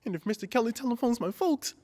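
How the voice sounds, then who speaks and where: A woman's voice: fairly high pitch, expressive, fast, dark tone. A woman playing a skeptical, easygoing ten-year-old kid in an old-time radio drama, talking in folksy slang. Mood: reluctant. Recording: long hall-like reverb.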